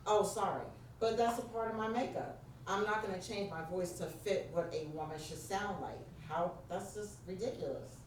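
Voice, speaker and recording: Deep-voiced New York woman leading a workshop. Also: normal speed, hardly any pauses. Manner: engaging, prompts the audience directly. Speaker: workshop presenter. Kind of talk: interactive workshop talk. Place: church meeting room. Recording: very echoey room, distant mic, faint noise.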